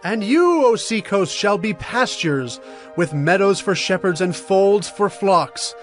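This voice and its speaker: An actor playing a stern, urgent Hebrew prophet of doom in a solemn, emphatic dramatized scripture reading. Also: male voice, sits high, lively intonation. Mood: condemning. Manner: solemn, emphatic, deliberate oratory. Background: music.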